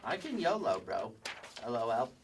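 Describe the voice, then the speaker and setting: Dim, vain kindergarten child star, grown man acting, slow deadpan delivery, sketch comedy, distant mic. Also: medium pitch, noisy background. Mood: laid-back. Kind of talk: staged sketch comedy reading.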